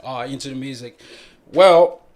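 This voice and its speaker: A man's voice: medium pitch, measured pace, darkish tone. A young man from Ghana, a musician and guest on a podcast, talking in a laid-back, easygoing way and laughing often. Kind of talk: casual podcast chat.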